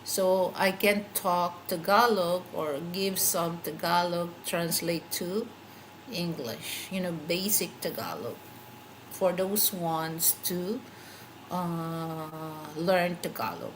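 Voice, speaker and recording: A woman's voice: on the low side, slow pace, slight background noise, poor audio. A middle-aged woman with a Filipino accent, whose first language is a Philippine language, hosting her own channel in a casual, rambling livestream chat and searching for words as she goes. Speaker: channel host.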